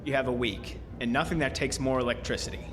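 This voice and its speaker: An actor playing a blunt, skeptical, exasperated carnival boss in a horror audio drama. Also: male voice, medium pitch, fairly even intonation, fast talker, background noise, lightly acted. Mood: wary, irritated. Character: gruff, skeptical, harried male carnival boss. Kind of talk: horror audio drama acting with first-person narration.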